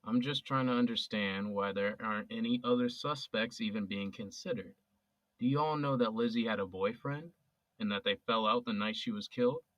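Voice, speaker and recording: A man's voice: lowish voice, moderate pace, fairly bright tone, no echo, muffled recording, natural voice. A man playing a young adult son with an accused father, bantering with his friend and earnest when it matters. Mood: probing. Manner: joking banter that turns earnest. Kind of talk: amateur audio drama acting.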